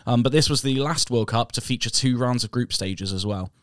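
A man's voice: medium pitch, fairly quick, a bit bright, dry recording, clean studio sound. A man from England co-hosting a casual football podcast, chatty and jokey. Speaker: podcast co-host.

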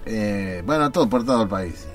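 A man's voice: lowish voice, expressive, moderate pace, faint music behind, natural voice. A man playing a smug, pompous wealthy landowner with an Argentine accent in a satirical radio sketch, rambling as he talks. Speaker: guest.

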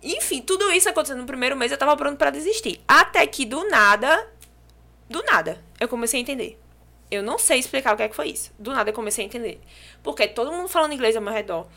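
A young Brazilian woman from João Pessoa chats on a podcast, telling her story with lively energy. Her voice is bright and fairly high, with a clear, forward ring. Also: quick pace. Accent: Brazilian Portuguese, from João Pessoa. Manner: lively, animated storytelling with restarts and laughs. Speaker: podcast guest. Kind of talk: casual podcast chat.

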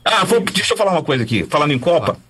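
A man's voice: on the high side, racing, noisy background. A man with a Brazilian Portuguese accent, a voice-over announcer telling stories in a casual interview, chatty and full of restarts. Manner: animated, chatty, many restarts.

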